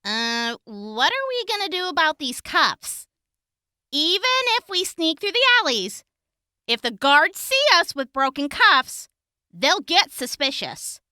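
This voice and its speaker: Feisty female escapee played by an actress, very high and piercingly bright, brash, for a wasteland audio drama. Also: sing-song, unhurried, no room sound, studio quality, full character voice. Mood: worried, practical. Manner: brash, sharp-tongued. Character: feisty, brash woman breaking out of jail. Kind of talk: post-apocalyptic audio drama acting.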